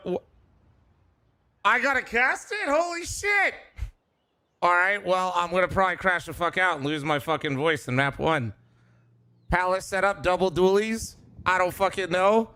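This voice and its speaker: A man streaming a watch party, reacting loudly with yelling, swearing and laughter, his voice going hoarse. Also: high-pitched, slight background noise. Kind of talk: excited live reaction chat.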